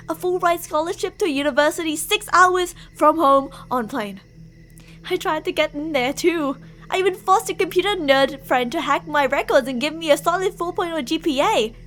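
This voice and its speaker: Woman acting super high voiced yandere girlfriend type, young adult, obsessive n clingy, volatile, asmr roleplay w music behind. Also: fairly bright tone. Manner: pleading, clingy, emotionally volatile. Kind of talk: ASMR roleplay, dramatic monologue to listener.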